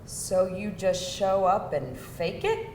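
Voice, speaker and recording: A woman's voice: medium pitch, slow, a little mellow, far from the mic, lightly acted. Actress as a wry woman in an echoey room. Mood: incredulous. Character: wry, candid woman on a date with an oddball. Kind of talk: live screenplay table read. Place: indoor live table-read event. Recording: echoey live room, faint noise.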